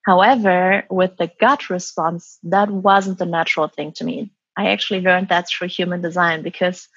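A woman guest with a breathy voice who talks reflectively, full of "like" and "um", about her own path. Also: on the low side, steady tone, normal speed, a bit bright, no room sound, lo-fi sound. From Germany and Taiwan. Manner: reflective, many "like" and "um" fillers. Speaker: guest, former business consultant. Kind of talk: reflective interview answers.

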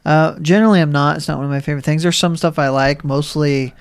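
A man hosting a podcast, chatting in a relaxed, low-key way. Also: quick pace, gravelly, smooth, no room sound. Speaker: podcast host. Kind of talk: casual chat.

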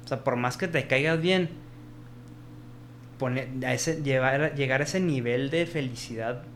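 A man's voice: medium pitch, unhurried, slight background noise. Chatty Mexican man retelling film scenes. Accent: Mexican Spanish. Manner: animated storytelling, acts out quoted dialogue, frequent restarts. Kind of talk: casual film discussion.

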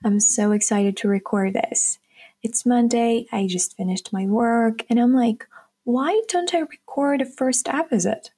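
A woman's voice: on the high side, measured pace, dark tone, husky. Upbeat nineteen-year-old woman hosting. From Ukraine. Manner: chatty and upbeat, addressing listeners as guys. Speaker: podcast host. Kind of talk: casual solo podcast monologue.

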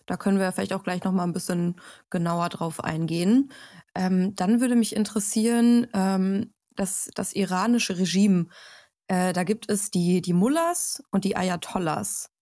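A woman's voice: medium pitch, moderate pace, mellow, no room sound, studio quality. A woman hosting an interview podcast, asking curious questions in a friendly way with occasional fillers. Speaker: podcast host. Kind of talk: casual interview.

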